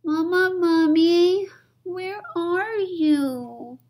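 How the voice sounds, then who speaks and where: A woman voicing a small boy mummy in a storybook, eager and stubbornly brave, calling out in drawn-out pleas. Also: very high voice, voice pushed up, exaggerated voice. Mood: searching, plaintive. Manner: drawn-out, loud calling and pleading.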